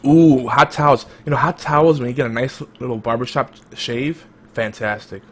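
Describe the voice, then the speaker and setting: A man hosting a casual podcast chat, talking in a hyped-up, loud, energetic way. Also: mid-range voice, normal speed, a little hiss or hum. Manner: energetic, self-described loud and hype. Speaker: podcast host.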